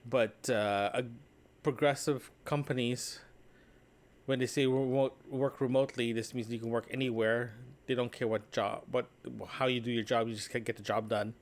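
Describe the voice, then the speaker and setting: A man records a casual solo podcast diary in a mid-pitched voice. He speaks conversationally and loosely, wandering from thought to thought and often tagging his points with a small question. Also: steady tone, moderate pace. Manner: conversational, meandering, often checking with "right?". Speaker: solo podcast host and vlogger. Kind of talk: casual, rambling spoken audio diary.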